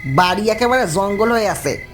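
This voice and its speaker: A man's voice: high-pitched, expressive, normal speed, a bit bright, faint music behind. A man playing a wary, matter-of-fact village tea-stall keeper in a horror cartoon.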